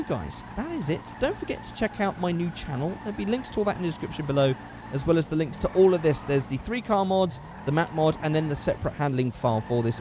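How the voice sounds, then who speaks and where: Gaming host, male, hoarse and fading, lively pitch, slightly high voice, engine noise under him. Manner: excitable, jumps up in pitch at close calls. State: hoarse, losing his voice. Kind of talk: casual gameplay commentary.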